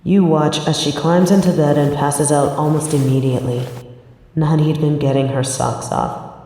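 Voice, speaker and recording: Mellow female narrator in deep reverb. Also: steady tone, normal speed. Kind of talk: scripted second-person story narration. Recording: very echoey, long reverb, music behind. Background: music.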